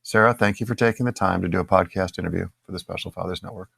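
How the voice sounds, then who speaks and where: A man's voice: low-pitched, fairly even intonation, racing, vocal fry, a bit bright, breathy edge, no room sound. A man hosting a podcast, reading a guest introduction and asking short interview questions. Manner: reads introductions, short prompts. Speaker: podcast host.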